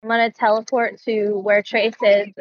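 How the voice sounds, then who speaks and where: A woman's voice: medium pitch, level tone, normal speed, crowd noise. A woman chatting casually as a player in a tabletop game, recorded in dull lo-fi sound.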